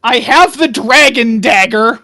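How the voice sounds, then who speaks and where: Animated woman playing a tabletop game. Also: on the high side, measured pace, gravelly. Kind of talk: casual, playful roleplay chat.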